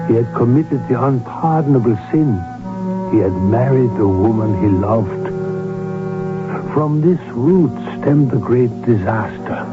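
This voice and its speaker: Actor as a grave, deliberate court physician. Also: male voice, mid-range voice, close to an everyday voice. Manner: solemn, deliberate, formal. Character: grave, loyal physician and confidant to royalty. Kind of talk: 1970s radio drama acting. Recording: muffled old radio broadcast with music.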